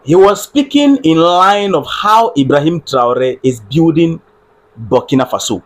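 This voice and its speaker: A man's voice: fairly high pitch, expressive, unhurried. A man with a Ghanaian accent giving earnest political commentary on a live stream, repeating phrases and checking with viewers that his audio works. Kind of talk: solo livestream political commentary.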